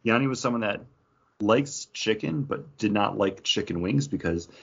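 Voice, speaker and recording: A man's voice: fairly low pitch, lively intonation, moderate pace, gravelly, slightly rough. A man chatting casually as a podcast co-host. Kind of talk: casual chat. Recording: dull lo-fi podcast audio.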